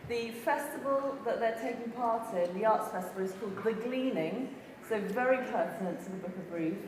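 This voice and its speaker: A woman's voice: a little high, normal speed. A woman hosting a church service, giving thanks and announcements to a congregation in a large hall. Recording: very echoey hall, clear background noise.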